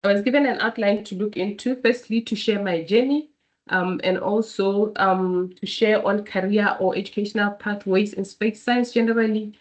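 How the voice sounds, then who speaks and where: A woman's voice: mid-range voice, steady tone, moderate pace, rough recording. A woman from Botswana with a cold, giving a keynote talk on a video call, hesitant and full of ums. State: has a cold. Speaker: keynote speaker. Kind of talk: online webinar presentation.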